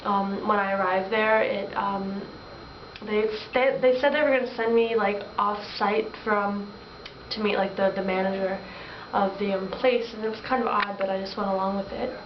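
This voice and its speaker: A woman acting a young, haunted trafficking victim, speaking haltingly as in an interview for a student dramatization. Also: medium pitch, steady tone, lightly acted. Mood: subdued, halting. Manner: halting, hesitant. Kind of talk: student-acted mock interview testimony. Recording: echoey, distant, noisy lo-fi room recording.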